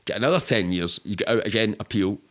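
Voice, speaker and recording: A man's voice: on the low side, fast talker, a bit bright. A middle-aged man with a Glaswegian Scottish accent, telling anecdotes casually in a candid, rambling, sweary way. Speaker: podcast guest.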